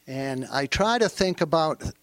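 Slow, earnest man with a Maine accent. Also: a little high, animated, fairly bright tone, no echo. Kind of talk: panel remarks.

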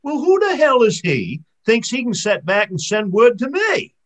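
A man voicing a brash, cussing fisherman who talks in a gruff, scoffing way. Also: high-pitched, sing-song, measured pace, fairly bright tone, voice pushed up, full character voice. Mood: defiant. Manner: gruff, scoffing backwoods talk. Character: brash, cussing male fisherman, middle-aged.

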